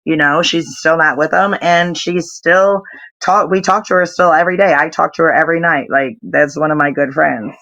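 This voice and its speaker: A woman's voice: low-pitched, moderate pace, fairly bright tone, dry recording. A woman around forty, a nonprofit founder and interview guest, talking in a rambling way full of fillers. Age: 40s. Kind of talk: casual interview chat.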